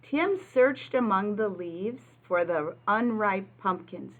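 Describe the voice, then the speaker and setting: A woman's voice: mid-range voice, lively intonation, slow pace, narrow, tinny audio. A woman reading a picture book aloud like an early-childhood teacher, talking simply and instructively to young listeners and asking them questions.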